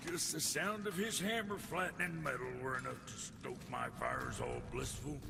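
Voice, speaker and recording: Gravelly, crude dwarf blacksmith. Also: male voice, fairly high pitch, steady tone, moderate pace, background noise. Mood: relishing, bawdy. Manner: folksy, coarse rustic speech. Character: crude, foul-mouthed dwarf blacksmith, gruff and blunt. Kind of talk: video game character acting.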